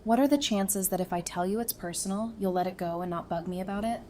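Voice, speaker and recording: A woman's voice: medium pitch, fast talker, warm tone, slight background noise, lightly acted. A woman playing an affectionate, playful survivor in an audio drama, casual and teasing in her delivery.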